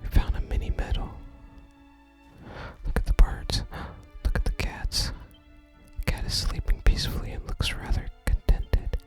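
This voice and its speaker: Someone whispers softly and gently through an ASMR video game playthrough, making unhurried remarks. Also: faint music behind. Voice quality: unvoiced whisper. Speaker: game streamer.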